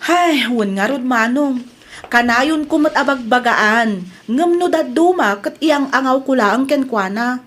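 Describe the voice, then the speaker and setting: A sensible, teasing friend, voiced by a woman, chides her friend in an Ilocano audio drama. Her voice is quite high, with an Ilocano accent. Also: animated, unhurried, a bit bright, heavily acted.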